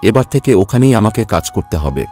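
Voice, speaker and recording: Man acting a low-voiced, weary village labourer. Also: very animated, fast talker, loud music behind the voice. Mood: reluctant. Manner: plaintive, humble. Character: poor, weary, worried male day labourer, husband. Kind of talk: cartoon story voice acting.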